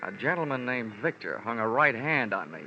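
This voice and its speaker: An actor playing a wisecracking, unflappable tough insurance investigator in an old-time radio detective drama, wry and slangy. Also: male voice, mid-range voice, sing-song, brisk, bright, forceful tone, highs cut off, slight background noise. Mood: sardonic. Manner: wry, hard-boiled, slangy.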